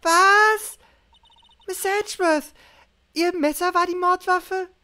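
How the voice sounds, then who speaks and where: A man voicing an excitable, easily shocked young woman sidekick in falsetto, with exclaiming delivery. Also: slow pace, heavily acted. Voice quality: high falsetto.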